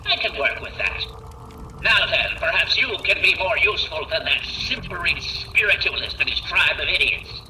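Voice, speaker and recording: A man's voice: sits high, big pitch swings, moderate pace, pushed and bright, a little hiss or hum, full character voice. An actor voicing an arrogant, condescending male genius who speaks through a holographic projection in a video game. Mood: scornful. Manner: condescending, insulting. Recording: roomy, distant voice.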